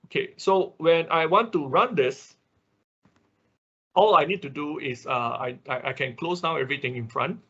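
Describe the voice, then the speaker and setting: A man's voice: on the high side, normal speed, sharp tone, husky, dull audio. A man giving an informal step-by-step tutorial as instructor of an online class, often checking in as he explains. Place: online class, screen-share voice-over.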